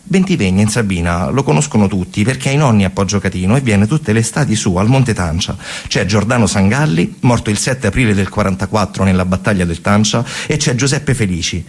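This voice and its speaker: Male stage storyteller, low husky voice, conversational delivery with deliberate repeats. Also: lively intonation. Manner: conversational, deliberate repetitions and pauses. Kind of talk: theatrical narrative monologue.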